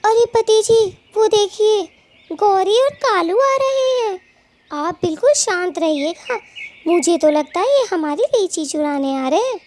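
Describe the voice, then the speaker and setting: Tiny, very high cartoon mother-hen bird. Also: expressive, unhurried, darkish tone. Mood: alarmed, hushed. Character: protective, caring, feisty little female bird, a wife. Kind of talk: children's cartoon story acting.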